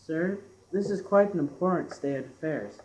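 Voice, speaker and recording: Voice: mid-range voice, moderate pace, darkish tone, close to an everyday voice. A young teenager playing an exasperated, formal British employer in an amateur school radio play. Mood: deferential. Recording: echoey room with faint noise.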